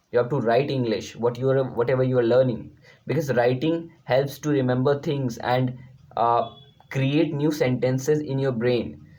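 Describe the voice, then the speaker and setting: A man's voice: medium pitch, darkish tone, muffled recording. Indian man explaining, Hindi native speaker. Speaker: YouTube language tutor. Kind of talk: informal lesson to viewers.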